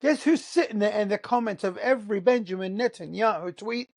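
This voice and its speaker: A man's voice: high voice, fairly quick, creaky, darkish tone. A man with an English accent, hosting his own podcast as a solo monologue, talking emphatically and firing off rhetorical questions. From born in Qatar, raised in England. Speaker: podcast host.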